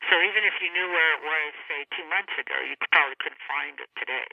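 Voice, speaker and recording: A woman speaking as an author guest in an unscripted interview, halting and often rephrasing mid-sentence. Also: deep voice, creaky, no echo. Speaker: author guest discussing own novel. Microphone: telephone. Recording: tinny, narrow phone line.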